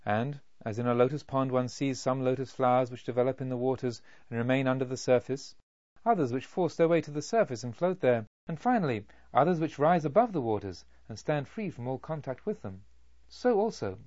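Man narrating with lively, expressive pitch. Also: a little fast, dry recording, lo-fi sound. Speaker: audiobook narrator. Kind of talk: scripted literary narration of a Buddhist novel.

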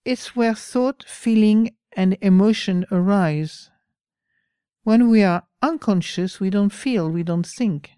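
Woman with a French accent, meditation teacher, slow and mellow, dry close sound. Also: mid-range voice, clean tone, dry recording. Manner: slow, pausing, gentle. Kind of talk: dharma talk.

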